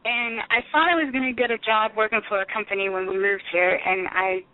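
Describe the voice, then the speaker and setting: A woman's voice: mid-range voice, fairly even intonation, fairly quick. A Texan woman in her thirties, a business owner, chatting as an interview guest with many ums. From Texas, United States. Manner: chatty storyteller, frequent ums. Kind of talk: casual interview chat. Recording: tinny phone line, dry.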